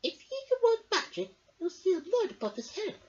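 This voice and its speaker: A woman voicing a knowing, teasing witch-like sister in a storybook, brisk and emphatic. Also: very high voice, sing-song, a little mellow, pitched above the performer's own voice. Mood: brisk, instructing. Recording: dull lo-fi home recording.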